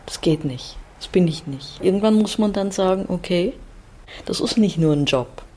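A woman's voice: on the low side, lively intonation, normal speed, darkish tone, husky. A woman speaking personally and passionately in short emphatic statements. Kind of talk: personal, heartfelt talk.

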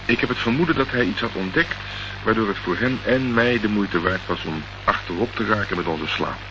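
Deep-voiced, dry male investigator played by an actor. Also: brisk, natural voice. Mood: probing, dry. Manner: dry, polite but pointed questioning. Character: wry, probing male amateur investigator in a crime mystery. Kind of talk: Dutch radio play acting. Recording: dull, noisy old radio play recording.

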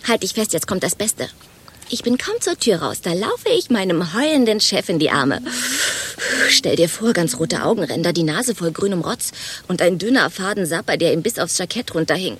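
An actress playing a chatty, overexcited office gossip in a radio play, telling things in a dramatic, gushing way. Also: female voice, on the low side, lively intonation, normal speed, slightly rough. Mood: gleeful gossiping. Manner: dramatic, gushing storyteller, mimics quoted exchanges.

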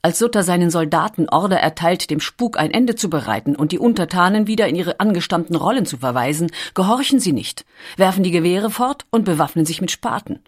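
A woman reading scripted documentary narration with a measured, dramatic storytelling delivery. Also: lowish voice, expressive.

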